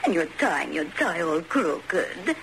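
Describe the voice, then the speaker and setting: A woman's voice: lowish voice, very animated, takes it slowly, heavily acted. An actress playing a fussy, nervous, doting mother in a radio drama, fretful and theatrical in manner. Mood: anxious, doting. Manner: fluttery, fretful, swooping. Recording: clearly noisy old radio drama recording.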